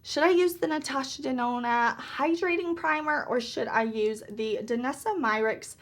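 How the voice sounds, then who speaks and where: Brief: woman, thirties, slightly hoarse, bubbly beauty-vlogger chatter, voice pitched a bit high. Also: moderate pace. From Southern United States. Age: 30s. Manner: chatty and upbeat, laughs often. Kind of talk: casual get-ready-with-me makeup chat.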